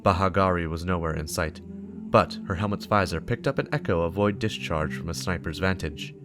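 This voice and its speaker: A man's voice: low voice, brisk. A man giving a dramatic scripted narration of a story. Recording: music behind the voice. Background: game soundtrack music.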